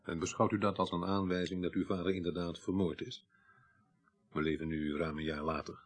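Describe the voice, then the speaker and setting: An actor playing a calm, probing, sceptical interviewer in a radio drama, terse and courteous. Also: male voice, low voice, brisk, breathy edge.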